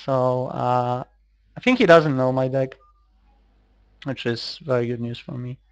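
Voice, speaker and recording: A man's voice: medium pitch, measured pace, dry recording. A man from Poland with a Polish accent giving casual, hesitant live commentary on a card game, thinking aloud as he plays. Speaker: online card game player recording gameplay.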